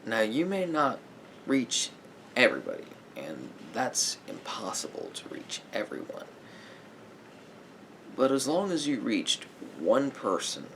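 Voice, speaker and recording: A man playing a sympathetic therapist in an ASMR roleplay, giving gentle advice in a halting, repetitive, hedging way. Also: medium pitch, slight background noise. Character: calm, sympathetic male therapist counselling a client.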